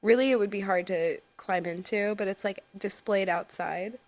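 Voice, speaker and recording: A woman's voice: mid-range voice, moderate pace. Playful woman chatting casually, joking around. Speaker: podcast co-host. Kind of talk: casual chat between friends. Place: indoors on a couch.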